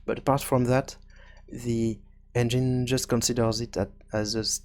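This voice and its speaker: A man giving an informal software tutorial, thinking aloud in a halting, self-correcting way. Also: mid-range voice, level tone. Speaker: tutorial presenter.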